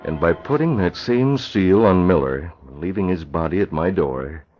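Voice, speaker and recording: An actor playing a resolute, decisive crime-fighting newspaper publisher in a measured old radio drama style. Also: male voice, sits low, lively intonation, faint music behind. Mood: grave, guarded. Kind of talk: 1940s radio drama acting. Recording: muffled, noisy old radio recording.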